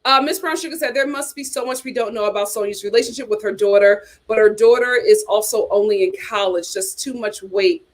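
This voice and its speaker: A young woman hosting a casual reality TV chat, sassy and animated, talking straight to her viewers. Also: mid-range voice, brisk, few pauses. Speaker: host.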